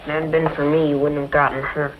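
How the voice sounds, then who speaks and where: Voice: mid-range voice, level tone, fast, natural voice. An actor playing an earnest, guilt-ridden young ranch boy in an old-time western drama, on a dull, noisy recording. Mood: remorseful.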